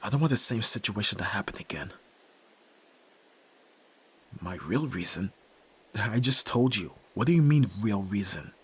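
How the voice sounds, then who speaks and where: A young man playing a guarded tsundere nerd turned yakuza in an ASMR roleplay, halting and stammering with breathy sighs, tender underneath. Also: lowish voice, lively intonation. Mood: defensive, agitated. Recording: music behind. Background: background music.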